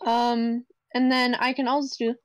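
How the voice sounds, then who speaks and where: A woman's voice: on the high side, level tone, moderate pace, no echo. Playful woman lying down, dry close sound. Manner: playful, joking about her own voice. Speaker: voice actor. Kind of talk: casual live stream chat. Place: lying down at home. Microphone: video call.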